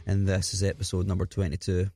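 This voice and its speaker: A man with a slightly raspy, scratchy voice hosts a podcast in an informal, self-deprecating, rambling way with some swearing. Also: low voice, completely flat delivery, quick pace, dark tone, dry recording. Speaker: podcast host. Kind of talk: casual podcast introduction.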